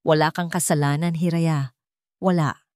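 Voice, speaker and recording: A woman's voice: low voice, steady tone, measured pace, darkish tone, no room sound, studio quality. A woman voicing an intense, commanding billionaire man in an audio love story, speaking emphatically. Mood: remorseful, pleading. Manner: emphatic, accusing, insistent. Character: stern, commanding billionaire man, remorseful and pleading later.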